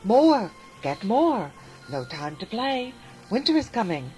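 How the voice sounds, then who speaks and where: A woman voicing a stingy, hard-working male ant who shouts insistently, with faint music behind. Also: fairly high pitch, very animated, slow, pitched above the performer's own voice, rough recording. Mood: chanting.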